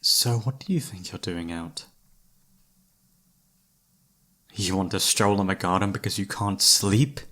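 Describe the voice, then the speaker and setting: Casting: young knight played by a man, slightly deep voice, formal but playful, devoted protector, fantasy romance audio roleplay. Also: normal speed. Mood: stern, dutiful. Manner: formal, courtly, playfully teasing, soft-spoken sighs. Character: young male knight, devoted childhood friend, teasing yet dutiful.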